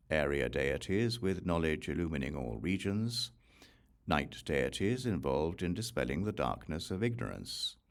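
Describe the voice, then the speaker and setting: A man reading Buddhist scripture as an audiobook narrator, in a measured, solemn manner through long, listed phrases. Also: extremely low pitch. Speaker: audiobook reader.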